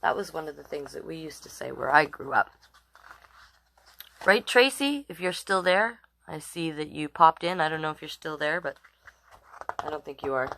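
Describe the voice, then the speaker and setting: A woman's voice: low-pitched, animated, moderate pace, gravelly, long pauses, sharp tone, poor audio. A woman hosting a children's storytime, chatting directly with viewers and reading picture books aloud in a casual way. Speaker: storytime host and reader.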